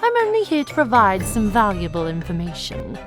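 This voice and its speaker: A woman's voice: mid-range voice, huge pitch swings, brisk. A woman voicing a smug, taunting villainess with a short temper in an anime-style audio drama, theatrical and mocking. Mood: smug, teasing. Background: music.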